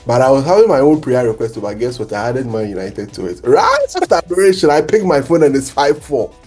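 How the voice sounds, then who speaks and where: A man hosting a casual football chat show, excitable and animated, quick to laugh. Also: mid-range voice, faint music behind. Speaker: podcast host.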